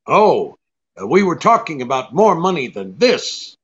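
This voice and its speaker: An actor playing a tough, shrewd private detective in a radio drama, brisk and commanding. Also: male voice, fairly high pitch, very animated, fairly bright tone, highs cut off. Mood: cool, bargaining. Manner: brisk, hard-boiled, commanding. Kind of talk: old-time radio drama acting.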